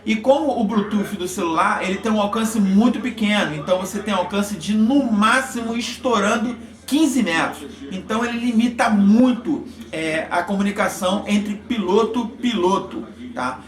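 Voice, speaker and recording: A man with a Brazilian accent giving an informal explanation as a vlogger, in a halting, repetitive way with frequent check-in words. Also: high voice. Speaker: vlogger reviewing motorcycle communication gear. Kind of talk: informal explanatory product talk. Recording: echoey, roomy sound.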